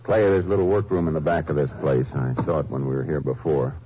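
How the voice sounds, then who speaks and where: An actor playing a steady lawman in a 1950s radio drama, calmly and methodically questioning suspects. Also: rumbling low, fast. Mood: probing. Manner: calm, dry, methodical questioning. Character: steady, calm male Texas Ranger investigator. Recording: muffled old radio broadcast with hiss.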